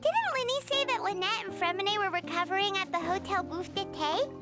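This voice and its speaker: Squeaky, chirpy little fairy. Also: female voice, brisk. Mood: concerned. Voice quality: squeaky, childlike. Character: tiny childlike female fairy companion, chirpy, curious, speaks in third person. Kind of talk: cartoonish video game voice acting. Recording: prominent music under the voice.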